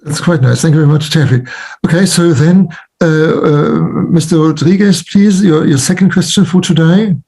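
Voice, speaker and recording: Male moderator, husky, slightly high, genial and joking, video-call sound. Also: moderate pace, dark tone. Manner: affable, jokey, many back-channel murmurs. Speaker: panel moderator. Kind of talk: online panel discussion.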